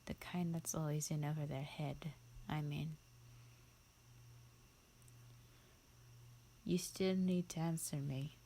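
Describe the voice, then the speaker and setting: A woman playing a bored, haughty, ancient female vampire in a roleplay audio, with a breathy, half-whispered, condescending drawl. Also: sits low, steady tone, normal speed, mellow, slight background noise. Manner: condescending, languid, theatrical drawl.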